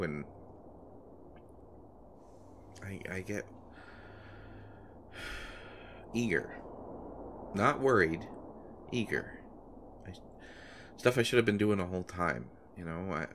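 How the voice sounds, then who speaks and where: A man's voice: low-pitched, fairly bright tone. A man playing an anxious, devoted boyfriend of a pregnant woman in an intimate roleplay audio, speaking in a hesitant, stammering way. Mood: anxious, sheepish. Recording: noticeable background noise.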